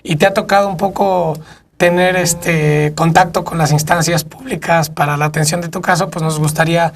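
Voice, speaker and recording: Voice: mid-range voice, fairly even intonation, normal speed. A co-host informally introducing a studio interview.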